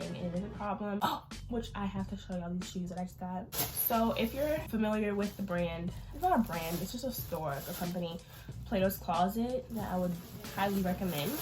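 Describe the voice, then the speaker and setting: An eighteen-year-old woman vlogger with a nasal, congested voice from allergies, chatting casually through a Q&A. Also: mid-range voice. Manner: chatty, informal, lots of fillers. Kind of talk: casual Q&A chat to camera.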